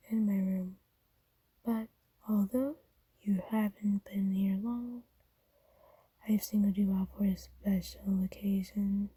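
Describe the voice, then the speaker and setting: Woman voicing a slow, hushed, doting vampire noblewoman. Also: medium pitch, slightly rough, dry recording, low-quality recording. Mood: hushed, reassuring. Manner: soft-spoken, half-whispered, gently formal, coaxing. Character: kind, doting aristocratic female vampire owner. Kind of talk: ASMR roleplay monologue.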